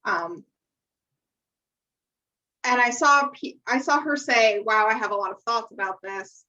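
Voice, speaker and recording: Bright American woman, slightly lo-fi recording. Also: on the high side, a little slow. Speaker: podcast co-host. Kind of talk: casual chat, discussing reality TV.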